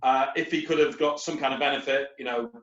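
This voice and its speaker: A man's voice: medium pitch, steady tone, fast, a bit bright, reverberant, dull audio. A man, a recruitment company leader, talking on a webinar panel in a rambling, anecdotal way with lots of fillers. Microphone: video call.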